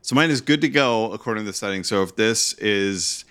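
A man co-hosting a podcast in relaxed casual chat, laughing often and adding short agreements. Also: lowish voice, fairly quick, smooth. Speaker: podcast co-host.

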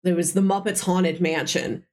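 A woman's voice: on the low side, fairly even intonation, moderate pace, a little mellow. Woman chatting as a rambling podcast co-host. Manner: rambling explainer with frequent "like". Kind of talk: casual film discussion. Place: indoors, recorded in person.

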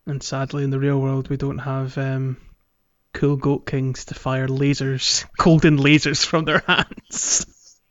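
A man's voice: medium pitch, little pitch movement, slow, no room sound, highs cut off. A man co-hosting a podcast in a casual chat about a video game. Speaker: podcast co-host.

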